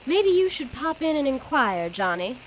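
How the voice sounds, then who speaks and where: A woman's voice: high voice, big pitch swings, moderate pace. A woman playing a fretful, genteel mother with theatrical delivery in a 1920s old-time radio drama. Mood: relieved, fretful. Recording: very noisy radio drama street scene.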